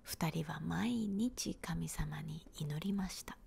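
A woman, giving a gentle, unhurried whispered ASMR storybook reading in a small room, close to a handheld recorder. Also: deep voice, vocal fry, a little hiss or hum.

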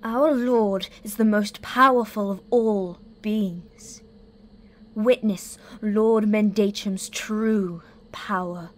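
An actress playing a fanatical, imperious mother superior in an audio drama, solemn and often hissing in a breathy whisper. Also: mid-range voice, steady tone, takes it slowly, close to an everyday voice. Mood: haughty. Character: menacing female cult leader, a fanatical mother superior.

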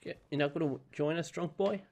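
A man in his thirties with a slightly raspy voice, talking on a headset mic as he plays a horror game and teases the characters. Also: on the high side, fast, darkish tone, a little hiss or hum. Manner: joking, teasing game characters. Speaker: gameplay streamer. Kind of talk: casual let's-play commentary.

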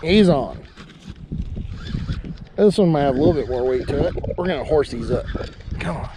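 A young man filming himself ice fishing outdoors on a frozen lake, chatting excitedly to viewers about each fish. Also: fairly high pitch, background noise. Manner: excited, chatty, quick to laugh. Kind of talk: casual play-by-play to viewers. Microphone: head-mounted action camera.

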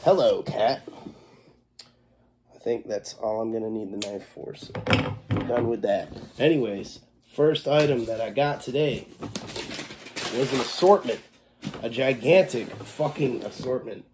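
A man's voice: mid-range voice, a little slow, long pauses, background noise. A young man with a congested voice and a cough, casually showing off his haul in a small home kitchen and swearing freely. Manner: laid-back, swears freely, scolds his cat. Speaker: fireworks vlogger. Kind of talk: casual unboxing vlog.

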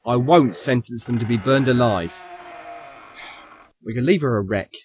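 An actor playing a stern young naval captain in an audio drama, formal, clipped and commanding. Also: male voice, mid-range voice, normal speed, crowd noise, natural voice.

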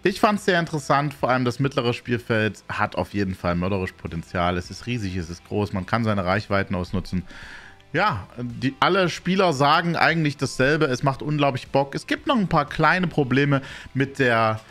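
A man in his thirties, hosting his airsoft channel, chatting to camera from a small gaming room on a studio mic, relaxed and full of filler sounds. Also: mid-range voice, moderate pace, soft background music. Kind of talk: casual talk to camera. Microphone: studio mic on arm, headset.

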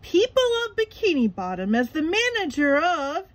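Woman playing boyish cartoon sponge, high-pitched, excitable, exuberant drawn-out delivery, storybook character. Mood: proud. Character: excitable, childlike, eager young male cartoon sponge.

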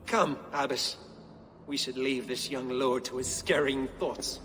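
A man plays a middle-aged medieval churchman in a video game, mid-pitched in an echoing hall. He is pious and pompous, with an ornate, disdainful delivery over faint music. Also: steady tone, a little slow, a bit bright, lightly acted. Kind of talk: video game cutscene acting.

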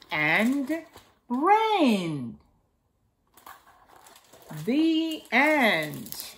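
Slow, lively middle-aged woman reading to children. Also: high voice. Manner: simple, deliberate phrasing with repeated key words. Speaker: storytime host reading a picture book to young children. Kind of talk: read-aloud storytelling for small children. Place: indoors in a home, beside a staircase.

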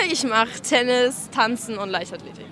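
A woman's voice: fairly high pitch, a little slow, a faint crowd. A nineteen-year-old woman from Munich answering a street interview, hesitant and full of fillers. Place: shopping street at night, outdoors. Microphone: handheld mic held by interviewer. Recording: street with loud music behind.

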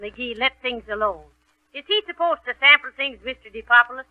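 A woman's voice: high-pitched, expressive, a little fast. An actress playing a sensible wife who dryly chides her husband in 1930s radio comedy. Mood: chiding. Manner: chiding, dry. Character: sensible, scolding wife, gently mocking. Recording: dull, noisy 1930s radio recording.

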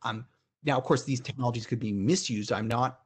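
A man's voice: medium pitch, a little fast, husky. A middle-aged man, a keynote speaker, answering conference questions over a video call from a room at home, thoughtful with frequent restarts and fillers. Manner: thoughtful, many restarts and "you know" fillers.

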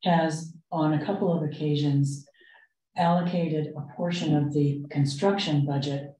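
A woman leading a committee meeting, explaining items in a halting, measured way. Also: deep voice, steady tone, dark tone. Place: indoor meeting room. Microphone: distant room microphone. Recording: roomy, distant meeting-room microphone.

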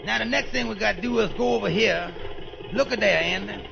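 A man playing an earnest, polite cab driver in a 1930s radio comedy, with folksy, ungrammatical, deferential speech. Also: a little fast. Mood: awestruck. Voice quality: high, creaky. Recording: dull, lo-fi 1930s radio recording.

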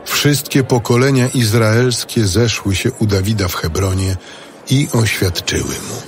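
Male scripture narrator: fairly low, unhurried, solemn. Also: slight background noise. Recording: music bed behind.

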